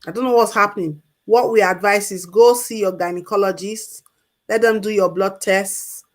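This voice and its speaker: A woman's voice: medium pitch, fairly even intonation, moderate pace. A woman on a call-in talk show giving health advice in an emphatic way, repeating her key points. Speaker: health expert guest.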